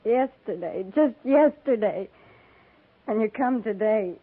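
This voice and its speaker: An actress playing a bitter, grieving young frontier widow, worn hard and stubborn, in a 1950s radio Western. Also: female voice, on the high side, expressive, slow, no room sound. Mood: weary, bitter grief. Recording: crackly 1950s radio broadcast, narrow band.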